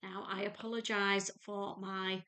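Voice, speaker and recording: A woman in her fifties with a North Lancashire accent talks at an easy, unhurried pace, chatty and homely. Her pitch sits in a middle range. She speaks to a desk webcam in her home sewing room. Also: little pitch movement, sharp tone. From England. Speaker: sewing vlogger. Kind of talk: casual chat to camera and screen walkthrough.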